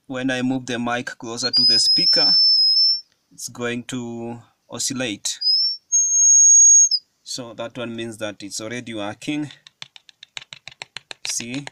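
A man giving a hands-on electronics tutorial at a table, explaining each step slowly with frequent fillers. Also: mid-range voice, flat delivery, very noisy. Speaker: electronics hobbyist demonstrating a circuit. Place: indoors at a wooden table.

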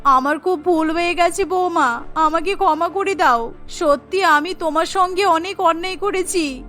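A woman's voice: very high voice, measured pace, fairly bright tone, faint music behind. A woman voicing an anxious, remorseful grandmother in a cartoon story, pleading and blaming herself. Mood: apologetic. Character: remorseful grandmother, anxious and apologetic mother-in-law.

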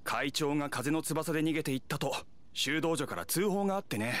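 A man's voice: fairly high pitch, animated, brisk, fairly bright tone, slight background noise. A man playing a dutiful, officious young town knight in an anime game, speaking formally and earnestly. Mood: explaining. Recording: game music behind the voice.

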